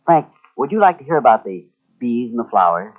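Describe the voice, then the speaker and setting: A man's voice: mid-range voice, big pitch swings, a little fast. A man hosting a 1940s radio drama with scripted banter. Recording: tinny old radio recording.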